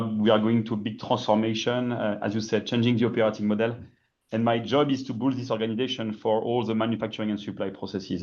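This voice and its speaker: A man with a French accent speaks as a panelist at an industry event, measured and halting, with frequent fillers. His voice sits at an ordinary middle pitch. The sound is dull and slightly lo-fi. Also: a little mellow. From France. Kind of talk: panel discussion introduction.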